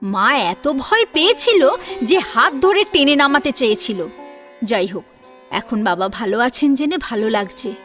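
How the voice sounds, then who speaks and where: High-pitched expressive woman storyteller. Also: a little slow. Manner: expressive storytelling with rhetorical questions. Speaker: narrator of an audio story serial. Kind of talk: dramatic scripted narration. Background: soft sitar and plucked string music.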